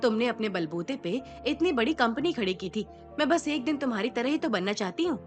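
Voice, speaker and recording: A woman playing a snobbish, sharp-tongued young cousin in an audio drama, gushing and haughty. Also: on the high side, animated, fairly quick, soft background music, lightly acted. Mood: gushing, encouraging. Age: young adult. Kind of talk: Hindi audio drama acting.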